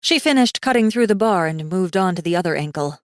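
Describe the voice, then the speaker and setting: Low-voiced woman narrating dramatic fiction. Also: lively intonation, dry recording, studio quality. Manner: measured, suspenseful, deliberate pauses. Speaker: audiobook narrator.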